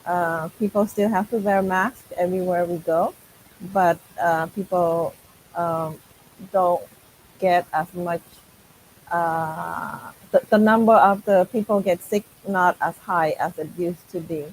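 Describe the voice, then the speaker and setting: Guest, woman, lowish voice, unhurried, pauses a lot, slight background hiss. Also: steady tone, poor audio. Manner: hesitant, many fillers. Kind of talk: casual video-call chat.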